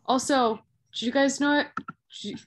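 A woman's voice: sits high, fairly even intonation, normal speed. A woman playing in a tabletop game over a video call, chatty, rambling and self-deprecating. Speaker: player.

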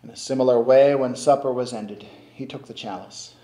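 Solemn older priest in an echoey church. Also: male voice, mid-range voice, fairly even intonation, warm tone. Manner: slow, solemn, measured intoning. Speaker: priest celebrating Mass. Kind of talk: liturgical prayer recitation. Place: stone-walled church sanctuary at the altar.

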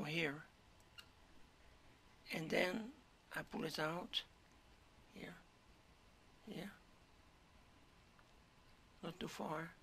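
Hoarse gravelly man, slow tutorial talk. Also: a little high, sharp tone, slight background noise. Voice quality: gravelly, keeps clearing throat. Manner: halting, step-by-step, drops to whispers. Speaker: 3D modeling tutor. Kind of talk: screen-recorded software tutorial.

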